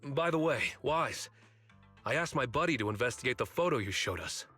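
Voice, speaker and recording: Big blunt game worker: sharp bright voice, casual and forthright, music behind. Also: male voice, medium pitch, lively intonation, normal speed. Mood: friendly, concerned. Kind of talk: video game story dialogue acting.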